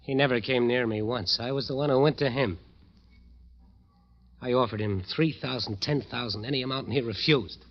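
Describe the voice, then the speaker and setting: An actor playing a haunted, wasted band leader who confesses in agitated, insistent tones in an old radio suspense style. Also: male voice, mid-range voice, normal speed, natural voice. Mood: desperate, defiant. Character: desperate, haunted big-shot band leader, man wasted thin. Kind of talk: 1940s-50s radio suspense acting. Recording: dull, muffled vintage radio recording.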